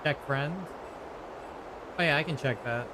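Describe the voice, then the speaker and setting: A man's voice: a little high, unhurried, background noise. A man giving casual, offhand live commentary as he plays a video game, muttering short reactions. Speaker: gaming streamer.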